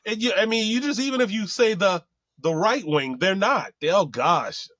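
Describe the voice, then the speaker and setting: Someone chatting casually in a halting way, often repeating words. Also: mid-range voice, lively intonation, fairly bright tone, dry recording. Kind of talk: casual chat.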